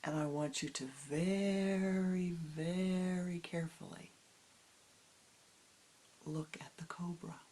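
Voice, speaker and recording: A woman voicing a wise, gentle old grandmother who speaks in a soft whisper, in a told story. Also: on the low side, fairly even intonation, slow, long pauses, a little hiss or hum. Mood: solemn.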